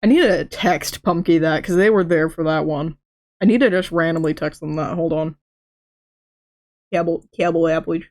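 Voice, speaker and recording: A woman's voice: low-pitched, normal speed, darkish tone. A woman with a lisp chatting casually on a live stream, rambling from story to story, stuttering and restarting words mid-sentence. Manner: chatty, rambling, laughs often.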